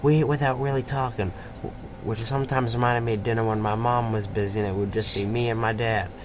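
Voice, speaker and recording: Man reading aloud over clear background noise. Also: mid-range voice, steady tone, fairly quick. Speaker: fan fiction reader and host. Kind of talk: amateur read-aloud of a story with casual asides. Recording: noisy amateur home recording.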